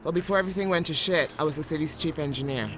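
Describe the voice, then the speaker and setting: A woman's voice: low-pitched, racing, music in the background, lightly acted. A woman playing a tough, dry-witted engineer and fighter in a video game, casual and unimpressed. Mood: dry, guarded. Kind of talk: video game cutscene acting.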